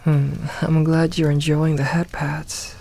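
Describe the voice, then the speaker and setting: A woman playing a gentle, motherly werewolf in a soft ASMR roleplay, soothing and reassuring as she guides a newly turned packmate. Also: sits low, little pitch movement, slow pace, vocal fry, full character voice. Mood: gentle, comforting.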